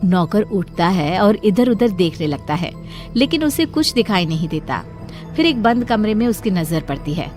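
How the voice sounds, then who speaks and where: A woman's voice: medium pitch, big pitch swings, moderate pace. A woman reading scripted story narration as the narrator. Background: music.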